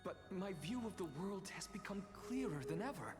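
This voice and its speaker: Brooding young scholar, haughty, theatrical game dialogue, swooping pitch, long reverb, faint music. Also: male voice, expressive. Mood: fervent, defiant. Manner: dramatic, deliberate, haughty. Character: defiant, brooding young male scholar, coolly arrogant. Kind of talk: theatrical video game voice acting.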